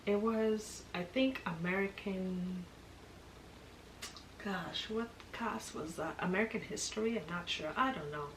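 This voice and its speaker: A young woman from Kenya telling a casual storytime, chatty and expressive, often laughing. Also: medium pitch, a little slow. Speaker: vlogger. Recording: echoey room with background noise.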